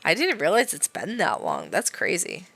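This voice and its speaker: A woman's voice: fairly low pitch, wildly swooping pitch, moderate pace, vocal fry, a bit bright, no room sound. A woman livestreaming a game with casual, slangy, sardonic commentary and some swearing. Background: game music.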